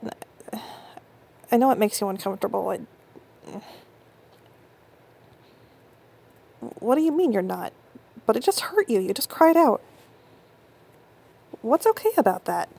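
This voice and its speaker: A woman playing a husky, intense young girlfriend. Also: on the high side, steady tone, heavily acted. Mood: flustered, apologetic. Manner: soft breathy whispering, halting stammers. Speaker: audio roleplay performer. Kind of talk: intimate audio roleplay.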